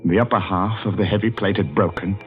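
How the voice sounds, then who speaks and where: A man's voice: on the low side, fairly even intonation, brisk, soft background music, lightly acted. An actor playing a haunted, anxious young man, brooding and jittery, in the first-person style of 1940s radio drama. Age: young adult. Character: haunted, anxious young man narrating his own doom. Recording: muffled 1940s radio recording.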